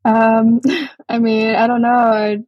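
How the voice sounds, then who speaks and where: A young adult woman from Hawaii, a guest artist speaking in a relaxed, thoughtful way with many pauses and restarts. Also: fairly high pitch, dry recording, highs cut off. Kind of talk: casual interview over a call.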